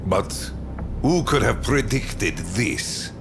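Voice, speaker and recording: An actor playing a grave, proud faction leader in a dramatic video game cutscene, speaking haltingly and broodingly. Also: male voice, lowish voice, very animated, music in the background, full character voice. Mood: shaken, regretful.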